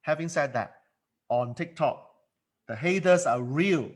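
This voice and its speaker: A man's voice: fairly high pitch, measured pace. A man coaching in a solo monologue, emphatic and given to repeating words, speaking English as a second language. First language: not English. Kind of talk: motivational monologue.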